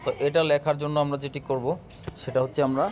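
A man's voice: fairly high pitch, little pitch movement, fast talker, a little mellow. Man teaching, noisy recording. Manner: instructional, addresses viewers directly. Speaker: tutorial host. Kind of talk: casual step-by-step app tutorial. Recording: clear background noise, room ambience.

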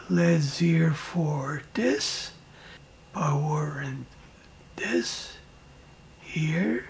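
A man narrating a hands-on electronics tutorial in halting, clipped short phrases, with a breathy voice that trails off into whispers. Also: a little high, warm tone, a little hiss or hum. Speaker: electronics project presenter.

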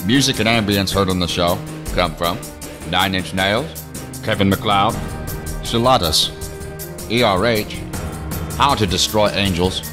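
Low-voiced male credits announcer. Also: a little slow, long pauses, music in the background. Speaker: announcer reading end credits. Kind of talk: scripted announcement.

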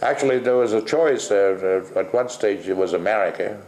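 Gravelly-voiced older man in an echoey room. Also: lowish voice, darkish tone. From Netherlands. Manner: blunt, salty, rambling with many pauses. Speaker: interviewee. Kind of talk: casual interview. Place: kitchen at home, indoors.

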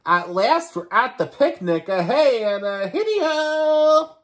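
A man's voice: very high voice, very animated, slow pace, a bit bright, pitched above the performer's own voice, heavily acted. A man voicing hungry marching ants from a storybook in a sing-song rhyming chant. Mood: eager chanting.

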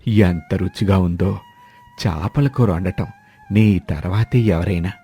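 A man voicing a hungry, mild old village husband in an animated moral story. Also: sits low, slow, husky, full character voice. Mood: contented. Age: older.

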